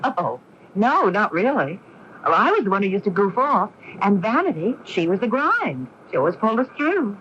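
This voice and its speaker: A woman's voice: medium pitch, sing-song, normal speed, fairly bright tone. An actress playing a young woman with a split personality in a 1980s radio drama, speaking in a poised, conversational way. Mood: reflective. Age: young adult. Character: young woman with split personality, sporty Jane and aloof Vanity. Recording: noisy, muffled 1980s AM radio recording.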